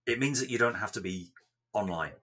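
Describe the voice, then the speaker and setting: A man explaining technical details in an interview, with measured, careful phrasing. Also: fairly low pitch, pushed and bright, husky. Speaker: software developer being interviewed.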